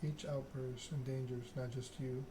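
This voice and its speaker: A man's voice: medium pitch, flat delivery, measured pace, background noise. A man doing an ASMR read-aloud of a narrative game, occasionally stumbling over the written text. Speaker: gaming channel host.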